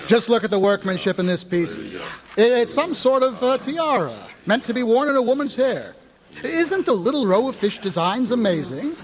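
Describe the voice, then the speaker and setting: An actor playing a genial, unhurried uncle in an audio drama, talking in a musing, hesitant way. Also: male voice, very high-pitched, sing-song. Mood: admiring. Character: genial uncle, unhurried, fond of family heirlooms.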